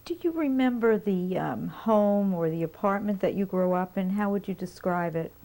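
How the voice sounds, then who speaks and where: Female interviewer, measured and methodical, mellow voice. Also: medium pitch, lively intonation. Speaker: oral history interviewer. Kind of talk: structured interview questions.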